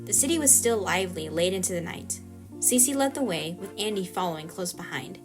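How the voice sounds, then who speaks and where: A woman's voice: fairly low pitch, animated, fairly quick. A woman reading scripted narration for an audio drama short story. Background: music.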